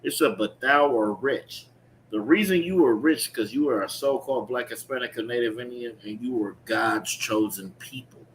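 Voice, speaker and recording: A man's voice: medium pitch, moderate pace. A man preaching and explaining scripture emphatically, repeating phrases and checking in with his listeners. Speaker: preacher leading a scripture teaching. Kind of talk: street-preaching style sermon with call-and-response reading. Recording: clearly noisy recording with ambient background sound.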